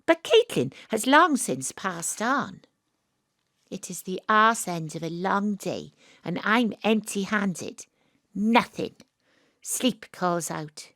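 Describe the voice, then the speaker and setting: A woman's voice: medium pitch, big pitch swings, slow, fairly bright tone, no echo, studio quality, heavily acted. An actress playing a young woman investigator, wry and weary, narrating her story lyrically in an audio drama. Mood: wry, reflective.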